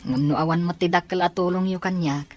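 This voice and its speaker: A woman's voice: on the low side, fairly even intonation, a little fast, darkish tone, lightly acted. A woman playing a hard-working young granddaughter with a respectful, earnest manner in a radio-style drama. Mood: earnest, grateful.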